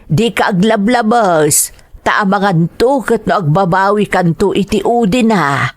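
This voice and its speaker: A woman's voice: mid-range voice, a little slow, no echo, lightly acted. A woman voicing a nagging older mother who lectures her grown son reproachfully in an audio drama.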